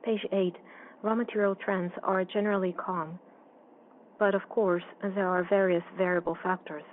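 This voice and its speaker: A woman interpreting a formal financial results presentation on a conference call in an even, businesslike manner. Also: medium pitch, moderate pace. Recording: dull, slightly noisy call audio.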